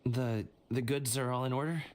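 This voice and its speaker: A man's voice: mid-range voice, fairly even intonation, moderate pace, a little hiss or hum, close to an everyday voice. An actor playing a good-natured young male escort in a video game, casual and slightly hesitant. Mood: subdued, guarded. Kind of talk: English video game voice acting.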